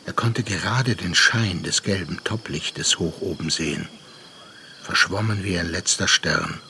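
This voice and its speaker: An actor narrating a literary radio play as a grave, reflective storyteller with measured delivery. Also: male voice, sits low, vocal fry, bright, forceful tone, a little hiss or hum, heavily acted. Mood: hushed.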